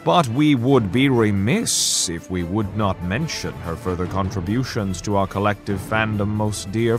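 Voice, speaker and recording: A man reading a scripted introduction as a podcast announcer, in florid, theatrical storyteller narration. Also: on the low side.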